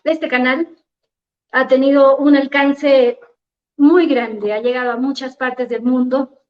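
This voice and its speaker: Woman host, high voice, gracious intro, muffled lo-fi recording. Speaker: event host. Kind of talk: introducing a speaker. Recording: dull lo-fi event recording.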